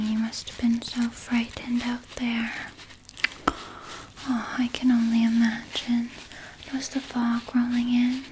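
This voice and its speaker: Woman as a whispering, slow vampire nurse. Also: a little high, noisy background. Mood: soothing, doting. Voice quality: breathy whisper. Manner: slow, soothing, coddling with veiled threat. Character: vampire nurse, sweetly doting yet quietly menacing. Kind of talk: ASMR roleplay, personal attention.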